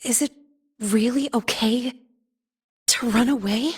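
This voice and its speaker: A woman's voice: a little high, takes it slowly, husky, no echo, studio quality, heavily acted. A woman playing a wistful young woman in a dramatic game voice-over. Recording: music behind the voice.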